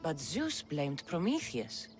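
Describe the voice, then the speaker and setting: Blunt mercenary, lively intonation. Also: female voice, deep voice, slow, over music, heavily acted. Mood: wary, resolute. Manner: direct, skeptical questioning. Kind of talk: dramatic video game cutscene dialogue.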